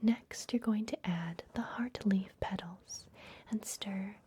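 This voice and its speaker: A woman playing a secretive, soothing vampire librarian in a fantasy ASMR roleplay, speaking in a soft, breathy whisper close to the microphone. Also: mid-range voice. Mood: soothing, instructive. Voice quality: breathy, mostly unvoiced whisper. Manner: soft, gentle, unhurried, confiding.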